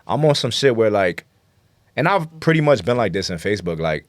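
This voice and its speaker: A man's voice: lowish voice, sing-song, a little fast, dry recording. A man talking casually as a rapper guest in an interview, slangy, profane and self-assured. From New York City, United States.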